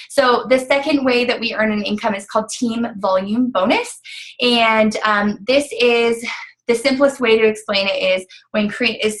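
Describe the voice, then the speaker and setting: A woman's voice: a little high, fairly bright tone, low-quality recording. A woman talking casually and upbeat, explaining how a coaching business pays, with many fillers. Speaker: network marketing coach. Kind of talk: casual recruiting talk.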